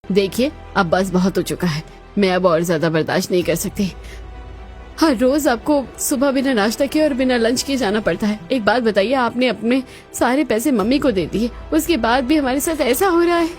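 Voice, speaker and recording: A woman's voice: on the high side, normal speed, darkish tone, soft background music, lightly acted. A woman voicing a young, village-raised daughter-in-law in a cartoon, earnest and insistent in her speech. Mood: indignant.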